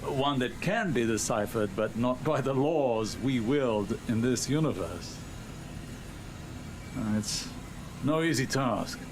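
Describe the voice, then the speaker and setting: A man playing a grave, earnest scholar in a game, speaking in a measured, formal, cautious way. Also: medium pitch, background noise, lightly acted. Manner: measured, formal, hesitant in places. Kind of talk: dramatic game cutscene monologue. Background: music.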